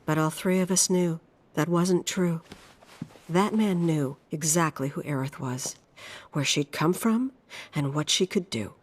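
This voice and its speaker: An actress playing a worried, loving middle-aged mother in a video game drama, speaking in an earnest, measured, heartfelt way. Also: low voice. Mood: sombre.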